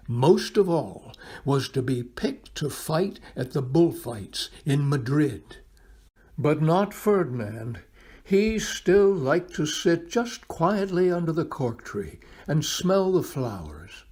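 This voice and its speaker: A man reads a picture book aloud for children in a fairly high voice with a slightly husky edge. His delivery is unhurried and very expressive, with dramatic pauses and plenty of melodic movement as he speaks to young listeners. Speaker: volunteer storybook reader.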